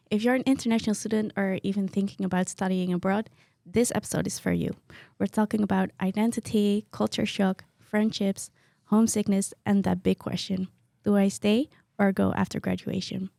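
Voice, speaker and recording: Young woman cohost, gravelly and mellow, lots of mm-hmms, dry room. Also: mid-range voice, fairly even intonation, moderate pace, no echo. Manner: encouraging, gives many short agreements. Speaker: podcast co-host. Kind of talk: podcast hosting.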